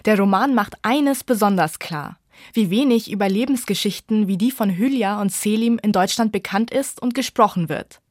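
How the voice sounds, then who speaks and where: A woman's voice: medium pitch, normal speed, darkish tone, no room sound. Woman narrating, dry close studio sound. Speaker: reporter and book reviewer. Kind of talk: scripted radio feature narration.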